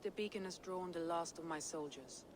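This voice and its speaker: A woman's voice: fairly low pitch, normal speed, background noise, natural voice. An actress playing a proud Viking woman jarl in a dramatic game cutscene, speaking earnestly in formal, declamatory phrases. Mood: earnest, warm.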